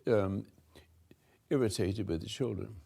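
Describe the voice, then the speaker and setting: An older man slowly giving a rambling spiritual talk to a room, halting and restarting, swinging between soft and loud. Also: on the low side, vocal fry, husky. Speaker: spiritual teacher hosting a talk.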